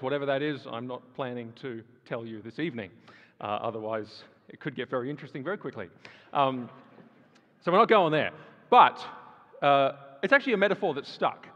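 A man's voice: on the high side, fairly bright tone. A man giving a sermon-style talk to a live audience, speaking conversationally with fillers and rising emphasis. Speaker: guest speaker. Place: large hall with audience. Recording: dull sound with long hall reverb.

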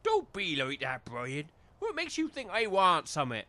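Man doing a high-voiced, wheedling village chancer. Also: wildly swooping pitch, moderate pace, sharp tone, heavily acted. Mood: defensive. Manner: rustic dialect, cajoling. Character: wheedling, chancer village labourer, rustic and chummy. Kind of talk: comedy audio drama.

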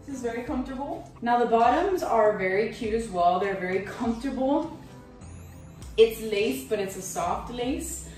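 A woman's voice: a little high, lively intonation, moderate pace, darkish tone. A young woman giving a chatty, matter-of-fact review of an outfit she is trying on. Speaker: lingerie reviewer. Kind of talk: casual try-on review. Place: small bedroom indoors. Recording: very echoey room, faint music.

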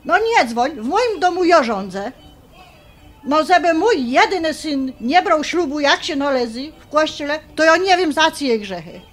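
A high, swooping female voice: a blunt highlander mother from a Polish radio play, old-fashioned and scolding, laying down the law in folksy dialect. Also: lively intonation, unhurried. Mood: indignant. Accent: Polish highlander (Podhale). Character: bossy middle-aged mother, blunt, old-fashioned, pious. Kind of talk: radio drama acting.

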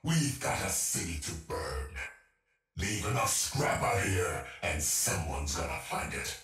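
An actor voicing a haunted, vengeful cyborg ghost in a video game, with a robotic effect and fragmented, brooding muttering. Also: male voice, low voice, sing-song, a little slow, a bit bright, recorded from a distance, exaggerated voice. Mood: unhinged. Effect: robotic, glitchy processing. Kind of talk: video game character voice lines. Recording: echoing game audio over music.

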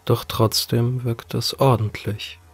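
A man's voice: mid-range voice, level tone, slow, slightly rough. A man reading a scripted horror story as an audiobook narrator. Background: music.

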